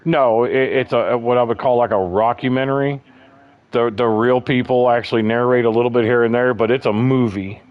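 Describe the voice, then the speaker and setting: A man with a bassy voice hosting a gaming livestream, chatting casually and rambling through stories. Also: fairly even intonation, a little fast, highs cut off. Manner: rambling, chatty storyteller, calls people bro. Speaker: livestream host. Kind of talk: casual chat.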